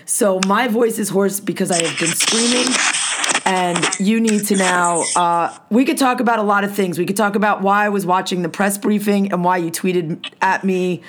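A hoarse woman hosting a podcast, delivering a blunt, profane, heated rant. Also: medium pitch, moderate pace, slight background noise. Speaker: podcast host.

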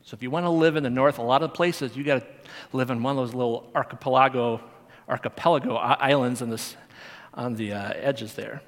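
Male lay teacher, mid-pitched, casual sermon delivery, long church reverb. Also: a little fast. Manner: conversational, chatty, with frequent fillers and restarts. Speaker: Sunday school teacher. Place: church sanctuary.

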